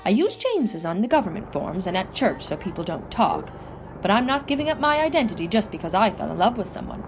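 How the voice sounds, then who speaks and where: An actress playing a chatty, quick-witted, independent woman innkeeper in an audio play. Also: medium pitch, very animated, background noise. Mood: friendly. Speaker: actor.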